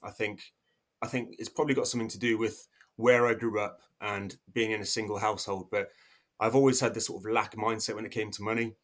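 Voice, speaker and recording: Low-voiced man in his thirties, halting. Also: steady tone, fairly bright tone. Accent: English. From South Africa. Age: 30s. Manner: hesitant, many restarts and fillers. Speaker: podcast guest. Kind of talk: casual interview talk.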